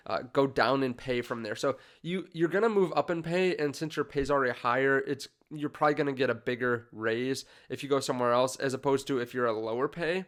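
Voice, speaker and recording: A man's voice: medium pitch, brisk, hardly any pauses, a bit bright. A congested young man in his twenties hosting a finance channel, talking casually to camera with many fillers and restarts. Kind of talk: casual direct-to-camera talk.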